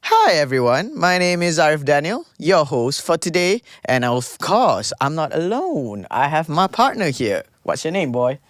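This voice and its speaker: A man's voice: a little high, sing-song, normal speed, hardly any pauses, no echo, clean studio sound. A man with a Malaysian English accent hosting a casual podcast, chatty and mixing in Malay words. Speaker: podcast host.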